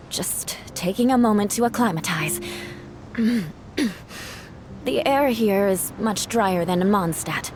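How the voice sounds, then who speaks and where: A woman voicing a composed, reserved knight in a video game, calm and measured. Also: mid-range voice, close to an everyday voice. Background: game music.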